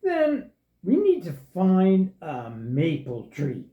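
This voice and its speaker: A woman's voice: fairly low pitch, huge pitch swings, takes it slowly, full character voice. A woman voicing a naive, timid but friendly crocodile in a children's puppet show, with a simple, questioning delivery. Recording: dull, echoey room recording.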